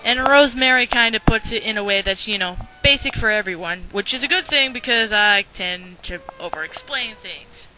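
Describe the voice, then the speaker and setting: A young woman giving blunt, unscripted critical commentary in an animated, ranting way. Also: medium pitch, normal speed, few pauses, low-quality recording. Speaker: commentary video host. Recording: music playing behind the voice.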